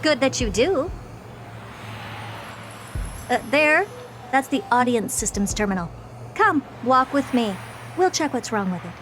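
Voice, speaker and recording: A woman's voice: high voice, expressive, measured pace, long pauses, fairly bright tone, slight background noise. A woman voicing a haughty genius scientist in a video game, who talks bluntly and dismissively. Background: game music.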